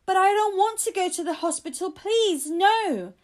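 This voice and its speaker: Woman as an earnest, pleading young child, high-pitched. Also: expressive, normal speed. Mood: frightened, protesting. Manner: urgent, emphatic pleading.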